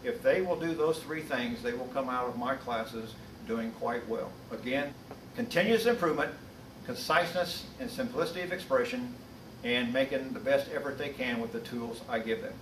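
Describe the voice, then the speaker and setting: A man from the US Midwest speaking as a college instructor, giving a prepared introduction in a measured, earnest way. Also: medium pitch. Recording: distant mic, roomy echo, noisy background.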